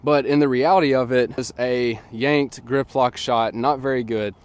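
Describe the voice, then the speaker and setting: Man, slightly nasal, 20s, relaxed chatty coach, open field, distant camera mic. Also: mid-range voice, moderate pace, smooth. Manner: relaxed, chatty, filler-heavy explaining. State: slightly nasal from a sinus infection. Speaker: disc golf coach. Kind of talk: casual instructional talk. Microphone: camera on a tripod at a distance.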